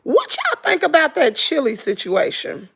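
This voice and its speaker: A middle-aged Southern woman talks to her viewers with a hoarse, gravelly voice. Her pitch sits a little high and swoops widely as she gossips, with dramatic exclamations throughout. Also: wildly swooping pitch, normal speed, a bit bright. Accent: Southern American. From Southern United States. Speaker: vlogger. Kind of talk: casual chat with viewers.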